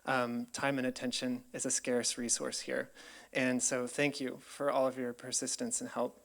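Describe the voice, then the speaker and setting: A man's voice: medium pitch, flat delivery, moderate pace, gravelly, fairly bright tone. A young man from Colorado with an American accent, giving an earnest, grateful public presentation with frequent ums. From United States, Colorado. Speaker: PhD researcher presenting.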